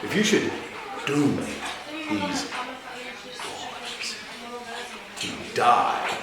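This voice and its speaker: Man playing a slow, impassioned old defense lawyer, echoey room. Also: very high voice, huge pitch swings, a crowd in the background, full character voice. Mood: anguished. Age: older. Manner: oratorical, impassioned, with dramatic pauses and swells. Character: veteran male defense lawyer pleading passionately for young clients' lives. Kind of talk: theatrical courtroom monologue. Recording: very echoey room, faint noise.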